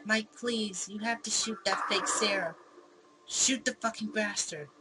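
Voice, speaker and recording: A desperate young woman in a visual novel , acted by a woman reader, pleading and accusing with urgent force. She swears freely and pushes hard to be believed. Clear noise sits behind the voice. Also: mid-range voice, unhurried, pitched above the performer's own voice, lightly acted. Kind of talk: visual novel read-aloud acting. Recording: clearly noisy background.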